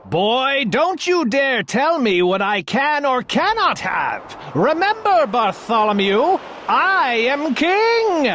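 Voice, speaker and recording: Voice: sits high, sing-song, takes it slowly, fairly bright tone, a little hiss or hum, voice pushed up, full character voice. A reader putting on a blustering old king. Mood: bellowing. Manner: bellowing, sputtering, huffing. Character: old grumpy male king, blustering and short-tempered.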